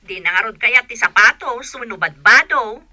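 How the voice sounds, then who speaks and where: A lively Ilocano elder sister in a radio comedy. Her voice is highish and very bright, expressive and plain-spoken. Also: moderate pace, no room sound. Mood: matter-of-fact. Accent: Ilocano, northern Philippines. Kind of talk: radio comedy drama acting.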